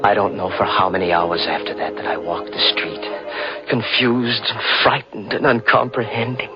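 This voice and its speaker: A man playing a deep-voiced, feverish immortal murderer. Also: steady tone, normal speed, lightly acted. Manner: feverish first-person narration, rising to frantic. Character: young man gone mad with immortality, a murderer. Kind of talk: 1940s radio drama acting. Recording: dull old radio recording with music.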